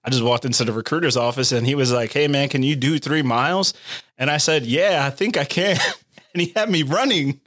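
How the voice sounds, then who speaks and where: A man's voice: mid-range voice, brisk, fairly bright tone, no room sound. Laid-back man, dry close mic. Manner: laid-back, laughs often, motivational asides. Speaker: podcast co-host, Guard soldier. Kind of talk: casual podcast conversation.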